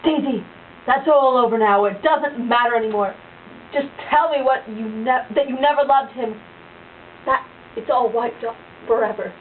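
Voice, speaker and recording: A woman's voice: a little high, moderate pace, recorded from a distance, lightly acted. A woman voicing an earnest, lovesick rich suitor in an amateur puppet show, in an insistent manner. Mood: pleading. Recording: tinny lo-fi home recording with hiss.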